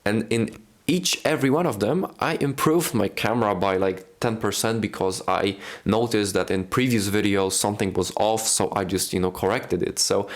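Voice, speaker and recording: A man's voice: fairly low pitch, moderate pace. Young Polish man rambling to camera. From Poland. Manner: rambling, many fillers like "you know" and "like". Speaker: YouTube creator. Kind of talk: casual talking-head vlog. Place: indoors at home, speaking to camera. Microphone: camera mic, in front of camera.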